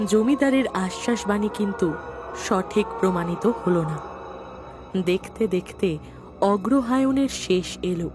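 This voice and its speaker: A woman reading scripted narration for a dramatic story. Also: mid-range voice. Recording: music behind the voice.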